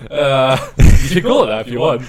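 A man's voice: a little high, husky. An eighteen-year-old man chatting on a podcast as a guest, joking and stumbling over his words as he corrects himself. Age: young adult, 18. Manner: halting, self-correcting, joking. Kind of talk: casual chat.

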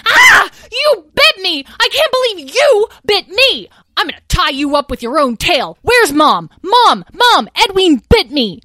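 A woman voicing a bossy young girl dragon who shouts in a loud, shrill voice. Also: very animated, unhurried, hardly any pauses, dry recording, studio quality, heavily acted. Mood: furious.

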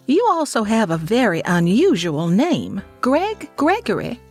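A woman's voice: on the high side, wildly swooping pitch, measured pace, a little mellow, husky, faint music behind, full character voice. A woman playing a genteel, self-important socialite in an audio drama, gracious in an affected way yet blunt. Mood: airy, curious.

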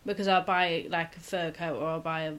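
Bright-voiced young woman. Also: fairly low pitch, fairly even intonation, a little fast. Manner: rambling, chatty, laughs often. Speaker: podcast host. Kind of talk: casual chat between friends. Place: shared home.

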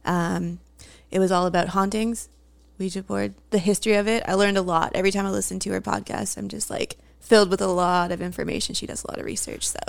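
A woman co-hosting a casual podcast, chatty and quick to laugh. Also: mid-range voice, level tone, vocal fry, no room sound. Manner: giggly, chatty. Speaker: podcast co-host.

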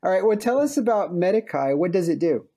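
A man's voice: high voice, animated, fairly quick, warm tone. A man from the United States hosting a startup podcast, chatty and jokey, laughing often. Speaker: podcast host. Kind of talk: upbeat podcast interview.

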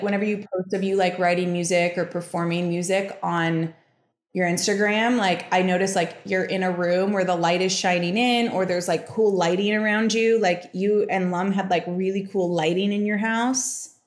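A young adult woman hosting a podcast, explaining a reading in a casual, chatty way, with frequent fillers and quick asides. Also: medium pitch, steady tone. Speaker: podcast host giving a reading. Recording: roomy echo.

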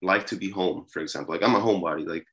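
A young man with a very low but bright, forceful voice talks about his music in a loose, rambling way. He leans on fillers and restarts as he thinks aloud. It sounds like a casual sit-down interview. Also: very fast. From Peru. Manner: relaxed, rambling, many fillers. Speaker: musician guest.

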